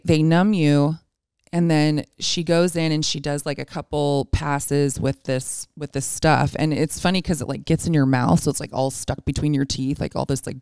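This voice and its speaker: Woman in her 40s just chatting, candid n self-deprecating, clean smooth voice, no room echo. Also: low voice, a little mellow, clean studio sound. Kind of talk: casual chat.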